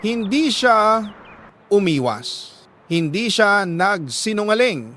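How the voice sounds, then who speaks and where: A man with a Filipino accent reading a listener's letter as a radio drama host, in a sorrowful, deliberate storytelling manner with dramatic pauses. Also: high voice, sing-song, fairly bright tone, a little hiss or hum.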